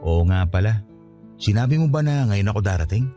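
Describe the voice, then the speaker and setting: Actor as homecoming husband, mellow low voice, affectionate radio drama delivery. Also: male voice, animated, brisk, soft background music, close to an everyday voice. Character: husband just home from working abroad, affectionate. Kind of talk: Tagalog radio drama acting.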